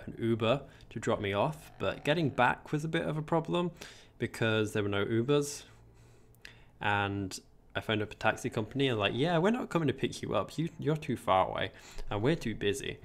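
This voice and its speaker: A young English man chatting casually on a gaming livestream, rambling about his week and answering viewers. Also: mid-range voice. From England. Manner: chatty, rambling, reads chat aloud. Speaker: streamer.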